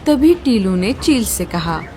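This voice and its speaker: A woman's voice: mid-range voice, very animated, takes it slowly, darkish tone, a little hiss or hum. A woman narrating a scripted children's cartoon story.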